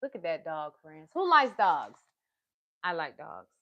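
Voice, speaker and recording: A woman hosting a children's storytime livestream, chatting to small children and parents in an animated, encouraging, sing-song manner. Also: low-pitched, measured pace, no room sound, highs cut off.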